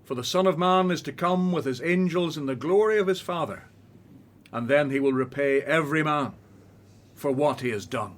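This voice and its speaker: A man's voice: fairly high pitch, moderate pace, natural voice. A man playing a solemn religious teacher in a radio drama, declaiming to his followers. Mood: solemn, preaching.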